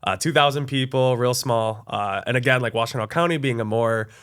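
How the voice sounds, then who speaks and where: A man's voice: mid-range voice, brisk, smooth. Easygoing young man, chatty guest. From Michigan, USA. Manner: relaxed, friendly, with many fillers and restarts. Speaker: college access adviser, guest. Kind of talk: casual interview conversation.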